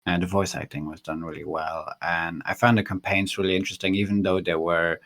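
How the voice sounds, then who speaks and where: Man, deep pitch, podcast interviewer, casual conversational delivery, halting, thinking aloud. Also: little pitch movement, fairly bright tone.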